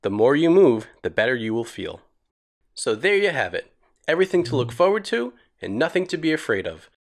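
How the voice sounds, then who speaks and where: Male narrator for kids' explainer cartoon; lively, swinging intonation, reassuring, simple delivery. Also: medium pitch, brisk. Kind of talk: scripted narration for a children's educational cartoon.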